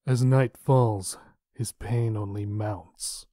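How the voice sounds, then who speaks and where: A man's voice: fairly low pitch, fairly even intonation, takes it slowly, dark tone, no room sound, studio quality. A man reading dramatic scripted narration as the narrator.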